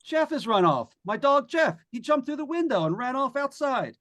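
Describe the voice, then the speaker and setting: Man acting skeptical everyman broadcaster, lively swinging pitch, informal halting delivery, jumpy, vintage radio thriller. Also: very noisy. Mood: uneasy. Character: plain-spoken skeptical male broadcaster exploring a haunted house. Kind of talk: live old-time radio suspense acting.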